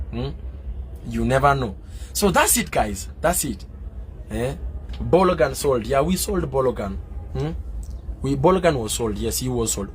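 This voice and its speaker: A man from Kenya chatting casually on a live stream as a football fan, answering viewers with many fillers and getting excitable and loud. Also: mid-range voice, a little hiss or hum.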